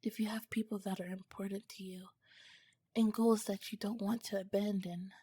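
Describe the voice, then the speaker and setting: A woman voicing a tender, curious alien scientist in an ASMR romance roleplay, speaking softly and formally in a breathy, whispery voice with some halting phrases. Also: mid-range voice, level tone, dry recording, clean studio sound, natural voice. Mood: tender, wistful. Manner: soft, halting, formal wording. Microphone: close, dry mic.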